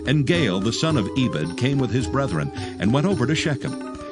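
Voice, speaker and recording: A man's voice: mid-range voice, big pitch swings, normal speed. A man narrating a dramatized reading of scripture, with music behind him.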